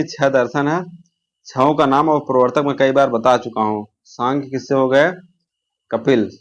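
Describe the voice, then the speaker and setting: A man teaching an informal exam-prep lesson, posing questions, answering them himself and repeating key terms. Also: medium pitch.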